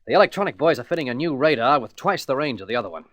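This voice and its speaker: A man's voice: medium pitch, animated, very fast, a bit bright, no room sound, studio quality. A man playing a conscientious, good-natured space adventurer in a radio serial, speaking in an informal, affable way. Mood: affable concern. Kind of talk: vintage science-fiction radio serial acting.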